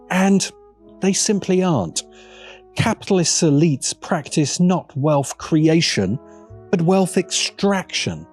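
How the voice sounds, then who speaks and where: A man reads an opinionated scripted essay with animated, swooping intonation. His delivery is emphatic and sardonic, and music plays behind his voice. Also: a little high. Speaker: video essayist.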